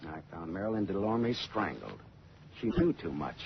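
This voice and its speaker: Low-voiced, wisecracking hard-boiled private eye. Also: male voice, sing-song, moderate pace, creaky, exaggerated voice. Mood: sardonic, accusing. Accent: American. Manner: wisecracking, slangy, brusque. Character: hard-boiled, wry male private detective, tough and probing. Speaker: detective and first-person narrator. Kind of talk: radio detective drama acting. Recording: dull, noisy old radio recording.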